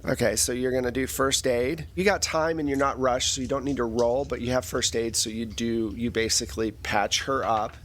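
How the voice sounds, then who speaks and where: A man narrating a tabletop game as game master, improvising in a halting way as he thinks aloud. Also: mid-range voice, fairly even intonation, a little hiss or hum.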